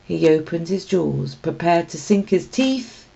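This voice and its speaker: A woman reading a children's story aloud as expressive scripted narration. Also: fairly low pitch, a little slow, creaky, dull audio, a little hiss or hum. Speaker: storybook reader.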